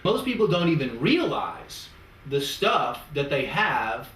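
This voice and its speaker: A man's voice: fairly high pitch, big pitch swings, slow, fairly bright tone, slight background noise. A man working as a natural health practitioner, explaining his approach to a client in a lecturing way, building long lists and analogies. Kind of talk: consultation with a client. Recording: echoey room, mic at a distance.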